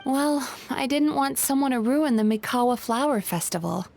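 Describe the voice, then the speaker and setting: Weary, high-voiced gentle yokai woman. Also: animated, moderate pace, vocal fry. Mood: earnest, tired. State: tired. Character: gentle, weary young-looking yokai woman, a hardworking therapist. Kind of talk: video game voice acting.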